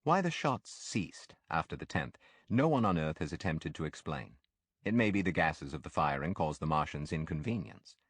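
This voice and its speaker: A man with an extremely deep voice narrates classic fiction in a dry, echo-free recording. His delivery is measured and expressive, in the manner of a practised audiobook storyteller reading scripted literary prose. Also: breathy edge.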